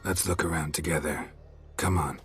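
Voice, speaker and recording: Gruff stoic monster hunter from a game, super deep voice, dry short lines. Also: male voice, fairly even intonation, moderate pace, a bit bright, soft background music. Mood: matter-of-fact. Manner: terse, dry, understated. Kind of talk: video game voice acting.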